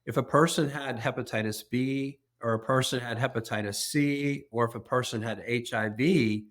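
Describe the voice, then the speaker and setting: A man's voice: mid-range voice, fairly even intonation, normal speed. A man, an organ donation executive, explaining earnestly as an interview guest, often repeating words as he starts a thought.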